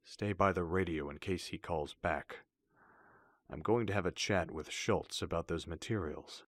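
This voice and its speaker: An actor playing a worried, commanding older army colonel in a radio drama, terse and authoritative. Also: male voice, low voice, normal speed, fairly bright tone, dry recording. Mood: grave.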